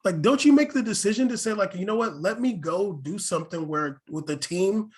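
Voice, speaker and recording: Man, high voice, casual sports podcast co-host, stammering restarts, pushy questions, slangy. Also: lively intonation, brisk.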